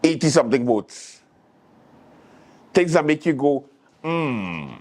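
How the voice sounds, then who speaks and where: A man's voice: mid-range voice, sing-song, takes it slowly, a little mellow. A man with a Caribbean accent hosting a late-night show, giving casual news commentary and acting out quotes in a storytelling way.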